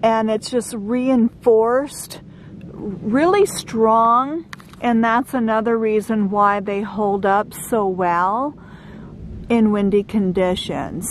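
A woman's voice: a little high, slow. A middle-aged woman vlogging outdoors at a desert campsite, chatty and explaining things step by step with frequent fillers. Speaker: van life vlogger. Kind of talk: casual how-to vlog talk. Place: outdoors at a desert campsite under a sun shade. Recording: outdoor recording with clear wind and vehicle noise.

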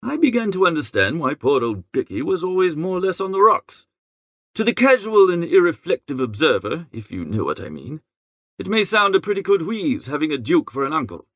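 A man reading an audiobook as a chatty, breezy young English gentleman, full of jaunty old-fashioned upper-class slang. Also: on the high side, very animated, fairly quick, no room sound, studio quality, exaggerated voice. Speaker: narrator. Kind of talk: scripted first-person audiobook narration.

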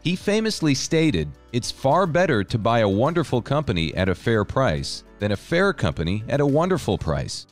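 A man reading a scripted narration in a smooth, evenly paced way. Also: mid-range voice, very animated, moderate pace, hardly any pauses. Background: soft background music.

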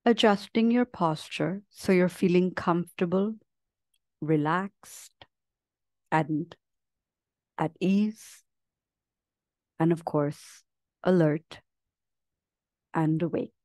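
A woman leading a guided meditation as a teacher, speaking gently and leaving long, unhurried pauses between phrases. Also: lowish voice, dry recording. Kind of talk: guided meditation, slow soothing instruction.

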